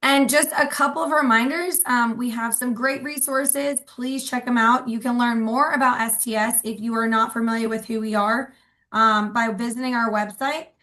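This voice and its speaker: A woman's voice: fairly high pitch, fairly even intonation, normal speed, sharp tone. A woman giving housekeeping announcements before a webinar, friendly, with frequent ums. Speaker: association director introducing a webinar.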